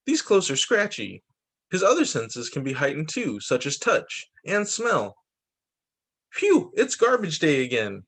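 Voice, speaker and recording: Male teacher for children's read-aloud: higher-than-average voice, very animated melody, expressive storytelling with casual asides. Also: measured pace. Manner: expressive reading with short spoken asides. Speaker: teacher reading picture books to pupils.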